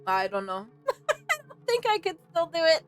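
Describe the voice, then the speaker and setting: A woman's voice: extremely high pitch, wildly swooping pitch, moderate pace, fairly bright tone, soft background music, rough recording. A woman streaming a game, giving casual, informal reactions in short exclamations over game ambience. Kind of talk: casual let's-play reactions.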